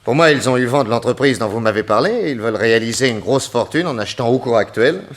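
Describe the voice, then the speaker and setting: An actor playing a shrewd detective in a French radio crime drama, probing and deducing with calm authority. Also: male voice, mid-range voice, quick pace, close to an everyday voice. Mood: assured, explaining. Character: shrewd, assured male detective, probing and logical.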